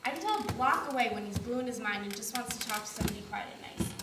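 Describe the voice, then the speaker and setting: A woman playing a fast-talking anxious young woman. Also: a little high, lively intonation, lightly acted. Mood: upset. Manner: rapid, pleading, emotional. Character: young American woman, anxious, devoted, pleading. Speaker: stage actress. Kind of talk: stage play performance. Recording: roomy, distant mic, noisy.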